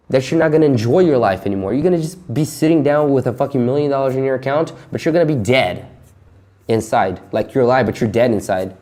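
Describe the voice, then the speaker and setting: Twenty-something guy venting, swears a ton, nervous little laughs, mid-pitch voice. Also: warm tone. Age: 20s. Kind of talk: candid personal monologue.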